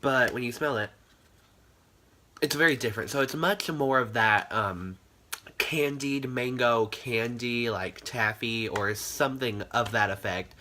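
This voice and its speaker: A man's voice: medium pitch, unhurried, pushed and bright, slight background noise. A young man with a stuffy, nasal voice from congestion, chatting casually and filled with "like" as he reviews wax melts on his channel. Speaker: candle channel host. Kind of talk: casual product review vlog.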